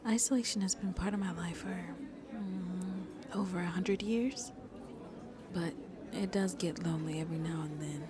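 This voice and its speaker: A woman playing a shy, gentle century-old vampire who seems in her twenties, soft-spoken and hesitant in an ASMR roleplay, often dropping to a near-whisper. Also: fairly low pitch, steady tone, noisy background, lightly acted. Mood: wistful. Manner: hesitant, apologetic, halting, breathy near-whispers.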